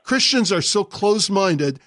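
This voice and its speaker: A man's voice: high voice, lively intonation, fairly bright tone, no room sound. Witty man, dry studio voice. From California. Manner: quick-witted, joking, debating. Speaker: radio host. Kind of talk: casual radio banter.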